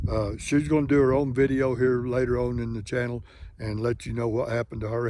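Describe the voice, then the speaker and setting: A man's voice: medium pitch, dark tone, no echo. A man in his late sixties, a hiking vlogger, talking candidly and at length to his viewers in a folksy, rambling way. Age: 60s. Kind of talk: candid casual talk to camera. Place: living in Colorado.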